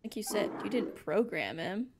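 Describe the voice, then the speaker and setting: A woman's voice: medium pitch, animated, normal speed, noisy background. A woman streaming a game, giving casual, sassy, bantering live reactions.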